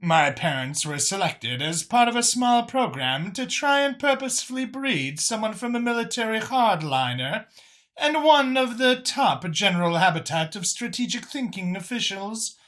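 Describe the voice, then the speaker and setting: An imperious alien councilwoman from a science fiction audiobook, acted out by a man, pitched high and swinging widely in tone. She is confident and formal, leaning deliberately on her words with a bright edge. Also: sing-song. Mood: proud explaining. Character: imperious female alien official, confident, formal, free-thinking council chair.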